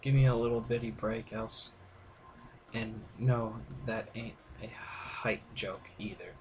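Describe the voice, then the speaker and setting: A man's voice: fairly low pitch, level tone, slow pace. A man hosting a gaming video, reading the characters' dialogue aloud in a playful, informal way with some stumbles. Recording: very noisy gameplay recording with clicks.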